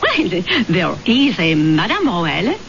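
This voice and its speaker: A genteel society lady, nosy and coaxing, with a very lively, affected delivery. It is old radio drama, muffled, with clear noise on the recording. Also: lowish voice, takes it slowly, exaggerated voice. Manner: affected, chatty, politely pressing.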